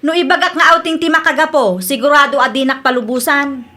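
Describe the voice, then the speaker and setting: An actress with an Ilocano accent playing an evasive, carefree young wife in a radio drama. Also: female voice, high voice, fairly even intonation, fairly quick, pushed and bright. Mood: defensive.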